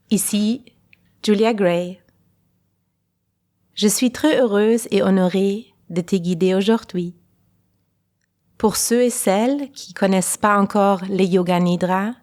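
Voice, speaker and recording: A woman guiding a relaxation meditation in a calm, unhurried way, pausing between short phrases. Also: mid-range voice. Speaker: guided meditation teacher. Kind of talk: slow guided relaxation meditation. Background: faint music.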